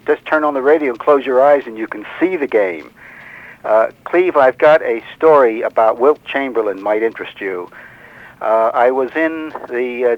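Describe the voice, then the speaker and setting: Hesitant male caller on dull phone line. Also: medium pitch. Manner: hesitant, halting. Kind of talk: casual storytelling. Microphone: telephone. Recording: dull lo-fi radio phone call.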